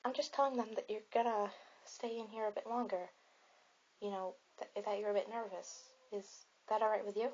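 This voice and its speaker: A woman playing a friendly popular teenage girl in an ASMR roleplay, chatting softly and reassuringly in a rambling, casual way. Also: medium pitch, steady tone, moderate pace, muffled recording, natural voice. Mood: gentle, reassuring.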